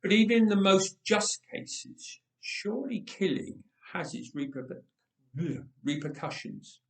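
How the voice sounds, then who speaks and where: A man reading a story aloud in a dramatic storytelling style, with deliberate, expressive phrasing and emphatic repetition. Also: high-pitched, creaky. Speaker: story reader. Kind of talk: dramatic read-aloud storytelling of a Bible tale. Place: inside a church. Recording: roomy, echoing church acoustic.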